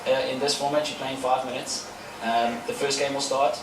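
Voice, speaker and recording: A man's voice: mid-range voice, flat delivery, normal speed. A man, a paintball player chatting in an outdoor interview with lots of fillers and restarts. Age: 30s. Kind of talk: casual interview answers. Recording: echoey, far from the mic, with noise.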